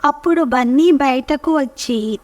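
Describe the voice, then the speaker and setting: A woman narrating a scripted children's story as storyteller, with measured, careful phrasing. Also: high voice, a little mellow, no room sound, recorded from a distance.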